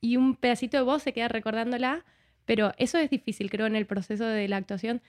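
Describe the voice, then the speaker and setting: A woman with a fairly high-pitched voice. She talks in a reflective, conversational way as an interview guest, expressively slipping into bits of imagined dialogue. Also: fairly even intonation, quick pace. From Argentina.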